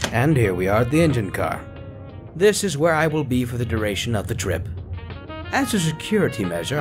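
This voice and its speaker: An actor voicing a stiff, formal elf train engineer with polite customer-service manners. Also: male voice, medium pitch, natural voice. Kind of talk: comic dub voice acting.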